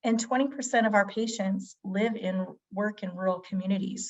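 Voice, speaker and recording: A woman physician giving a webinar presentation, her voice a little scratchy as she gets over a cold. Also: medium pitch. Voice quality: slightly scratchy. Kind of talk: webinar presentation, semi-scripted. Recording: dull, band-limited webinar audio.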